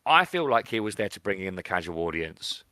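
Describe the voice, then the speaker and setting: A man's voice: low-pitched, very animated, fairly quick, sharp tone, dry recording. A British man co-hosting a podcast, chatting casually about films in a blunt, opinionated, sweary way. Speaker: podcast co-host. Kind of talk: casual film discussion.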